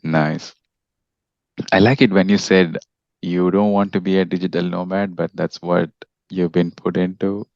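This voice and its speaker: A man's voice: deep voice, level tone, measured pace, vocal fry, a little mellow, dry recording, muffled recording. A man from India hosting a casual podcast interview, friendly, restarting his sentences often. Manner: friendly, with frequent restarts and fillers. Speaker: podcast host.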